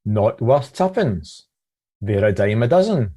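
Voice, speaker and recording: A man's voice: on the low side, big pitch swings, a little slow, warm tone. A man reading a scripted devotional reflection as one of a church's readers.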